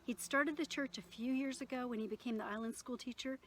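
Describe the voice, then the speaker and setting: Woman reading her novel out loud, kinda high voice, expressive, bit of noise behind her. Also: a little fast, low-quality recording. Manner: expressive reading with occasional stumbles and self-corrections. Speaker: author reading from own novel. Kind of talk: read-aloud storytelling.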